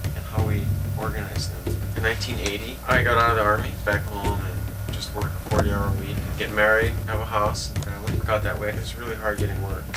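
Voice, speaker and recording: A man's voice: lowish voice, poor audio. Reflective man in his forties. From Hawaii. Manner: reflective, unhurried, philosophical. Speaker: glass artist. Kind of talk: documentary interview. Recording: voice under a loud music bed. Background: loud music.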